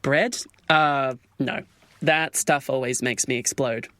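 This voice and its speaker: A man's voice: mid-range voice, fairly even intonation, takes it slowly, a bit bright, no room sound. A young man playing an anxious, hurt misfit swan in a modern audio drama, speaking in a hesitant, conversational way. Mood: hesitant, relieved. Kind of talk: audio drama acting, naturalistic modern dialogue.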